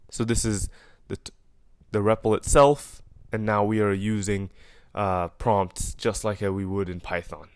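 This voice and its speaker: A man's voice: lowish voice, no room sound, clean studio sound. A young man with an Egyptian accent, casually walking through a coding project he built, with fillers and self-corrections. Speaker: programmer explaining his project.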